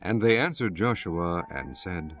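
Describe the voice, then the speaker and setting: A man narrating a scripture audiobook in a solemn, measured and formal way. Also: deep voice, expressive, a bit bright, faint music behind.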